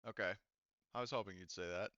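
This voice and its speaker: A man acting as game master of a tabletop roleplaying game, narrating casually and in an animated storytelling manner. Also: low-pitched, quick pace, no room sound, highs cut off.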